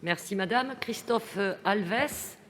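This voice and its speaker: A woman's voice: medium pitch, slow pace. A woman chairing a council meeting, making short procedural remarks to hand over and introduce items.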